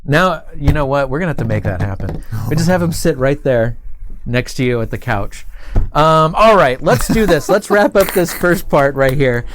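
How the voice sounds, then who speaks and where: A man's voice: a little high, expressive, normal speed, noisy background. A middle-aged man hosting a casual talk show, chatting informally in a studio. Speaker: host. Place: indoor studio set with couch and table.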